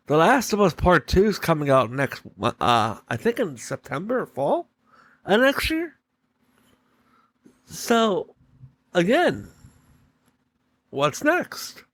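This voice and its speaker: A man hosting a solo podcast, giving opinion commentary in deliberate, halting short phrases. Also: fairly high pitch. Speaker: podcast host.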